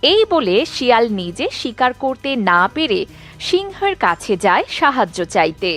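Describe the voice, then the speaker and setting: Female children's story narrator, high-pitched, extremely animated, faint music underneath. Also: moderate pace.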